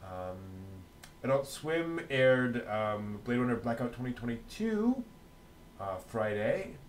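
A middle-aged man co-hosting a news show in a casual, chatty way with a halting delivery. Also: mid-range voice, sing-song, slight background noise. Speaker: co-host of an anime news show.

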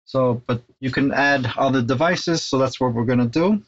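A man's voice: medium pitch, moderate pace. Middle-aged man, slightly muffled tutorial voice. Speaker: tech installer presenting a how-to tutorial. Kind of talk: casual step-by-step tutorial.

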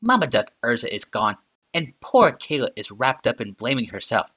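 A man voicing a sardonic female inventor in an audiobook, calm and mocking in tone. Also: medium pitch, moderate pace, voice pushed up. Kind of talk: audiobook character reading.

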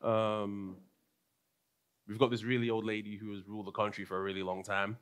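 A young man with a London English accent preaching a sermon from a pulpit, animated, moving between soft asides and raised, emphatic lines. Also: on the low side, fairly quick, smooth. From London, England. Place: church stage with purple lighting.

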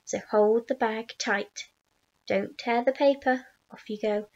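A woman voicing a small, mysterious old man who speaks slowly and creakily, often in a coaxing whisper. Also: a little high, fairly even intonation, a little mellow. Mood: urgent instructions. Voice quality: slow and creaky. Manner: whispering, conspiratorial. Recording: dull lo-fi recording.

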